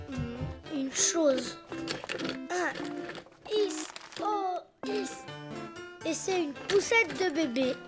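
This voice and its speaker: A performer voicing a cheerful, imaginative little boy donkey in a cartoon, in a childlike, expressive way. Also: very high-pitched, exaggerated voice. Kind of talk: cartoon voice acting.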